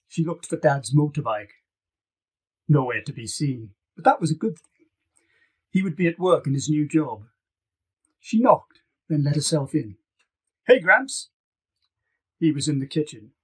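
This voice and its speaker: A man's voice: on the high side, breathy edge. A man reading scripted fiction as an author narrating an audiobook. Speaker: author reading own novel.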